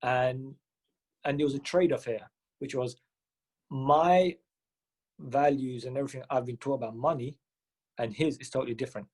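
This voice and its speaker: Young guy from mumbai w a london accent, husky, rattling off stories on a podcast, lots of ums. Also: medium pitch, low-quality recording. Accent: London English. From Mumbai, India. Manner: fast, animated, with many fillers and restarts. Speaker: podcast guest. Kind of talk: casual interview storytelling.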